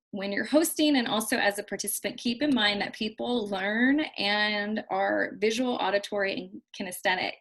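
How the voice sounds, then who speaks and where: Chatty woman teaching a video-call class. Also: mid-range voice. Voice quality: loud voice. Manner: chatty, repeats herself, many fillers and restarts. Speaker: trainer hosting a video call. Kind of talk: casual instructional talk. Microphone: built-in computer mic on a video call.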